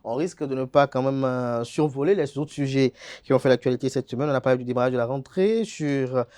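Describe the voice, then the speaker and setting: A man's voice: medium pitch, fairly quick, mellow, clean tone. A man, hosting a TV current-affairs debate in a formal manner, stumbling at times as he tries to cut in. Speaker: debate show host.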